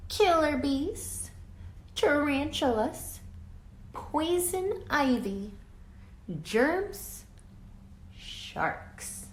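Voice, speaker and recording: A woman reading a children's picture book aloud like a teacher, deliberate and emphatic, drawing out words and adding explanatory asides. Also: sits high, animated. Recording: echoey room, faint background noise.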